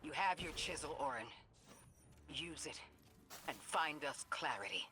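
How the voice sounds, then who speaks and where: A performer playing a grave, authoritative leader and strategist in a video game drama, speaking deliberately. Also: mid-range voice, lively intonation, noisy background, lightly acted. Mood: commanding.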